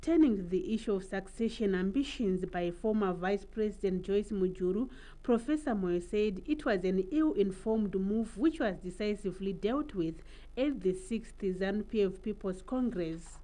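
A female news reporter with a Zimbabwean accent narrates a scripted report. Her voice is mid-pitched and distinctly mellow. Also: animated, moderate pace, low-quality recording.

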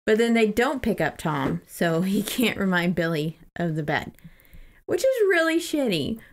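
A woman's voice: medium pitch, big pitch swings, measured pace. A woman co-hosting a podcast, casually recapping a book in an informal, amused way. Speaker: podcast co-host.

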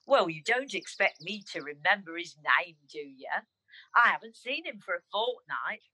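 Woman as a bright-voiced wry village gossip. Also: on the low side, big pitch swings, moderate pace, no echo, exaggerated voice, rough recording. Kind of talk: radio pantomime acting.

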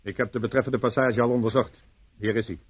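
Husky, dutiful police assistant played by a man. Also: medium pitch, fairly even intonation, quick pace, dry recording, lightly acted. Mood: matter-of-fact. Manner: deferential, matter-of-fact, slightly hesitant. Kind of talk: Dutch radio play acting.

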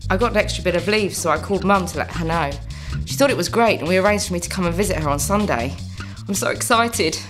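A woman's voice: fairly low pitch, fast, over music, natural voice. A woman playing a hopeful, chatty psychiatric patient telling her story in a scripted monologue. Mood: excited.

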